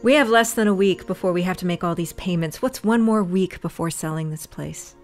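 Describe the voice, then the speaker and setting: A woman's voice: on the low side, brisk, soft background music, natural voice. A woman playing a fierce young witch. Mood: brooding. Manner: intense inner monologue, bursts of anger. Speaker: lead character. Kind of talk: audio drama acting.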